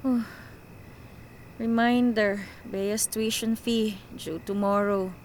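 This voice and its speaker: A woman's voice: mid-range voice, steady tone, takes it slowly, slight background noise, lightly acted. A woman playing an anxious, self-sacrificing young breadwinner in a radio drama, with a Filipino accent. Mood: stressed, worried. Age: young adult. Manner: talks to herself, code-switches into English.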